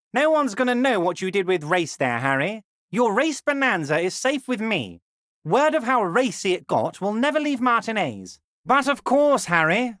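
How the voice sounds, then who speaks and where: An actor playing a smarmy, scheming fat union boss in a video game, with a high-pitched, almost feminine voice and an unctuous, condescending, falsely reassuring manner. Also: male voice, pushed and bright, no room sound, clean studio sound, exaggerated voice. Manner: unctuous, condescending, theatrically reassuring, drawn-out emphasis. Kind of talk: video game character dialogue.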